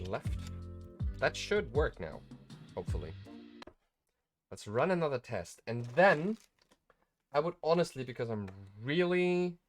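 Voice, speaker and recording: Male streamer, casual and meandering, animated pitch, light background music. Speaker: streamer and game developer. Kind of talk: casual live-stream commentary while working.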